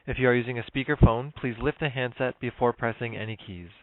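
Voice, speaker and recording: A man's voice: medium pitch, fairly even intonation, dry recording. Formal male operator on a phone line. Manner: formal, measured. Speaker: conference call operator. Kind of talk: scripted announcements. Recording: dry, thin phone line.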